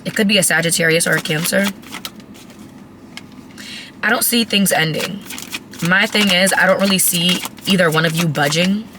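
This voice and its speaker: A woman who has lost her voice, raspy and cracking, giving a chatty, blunt tarot reading to her viewers. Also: on the low side, normal speed, pauses often, background noise. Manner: chatty, blunt, swears casually. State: hoarse, voice cracking. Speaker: tarot reader. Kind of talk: casual tarot reading to camera.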